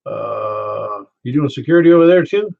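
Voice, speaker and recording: An older man with a Southern American accent running a ham radio net, chatty and quick to laugh as he tells stories. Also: medium pitch, animated, gravelly. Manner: chatty, storytelling, laughs easily. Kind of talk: casual radio chat. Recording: muffled ham radio audio.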